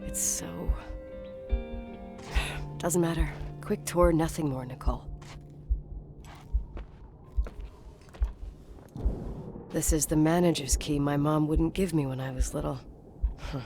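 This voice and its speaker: A woman's voice: low voice, steady tone, unhurried, dark tone, faint music behind. An actress playing a sardonic, impatient young American woman in a video game, with a brusque, dry manner. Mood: weary, wary. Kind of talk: naturalistic video game acting.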